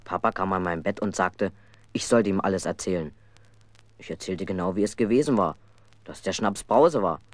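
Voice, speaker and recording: A man's voice: deep voice, moderate pace, no room sound, close to an everyday voice. A man narrating a children's radio play as a mischievous, aggrieved schoolboy telling his own story. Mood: wry, aggrieved.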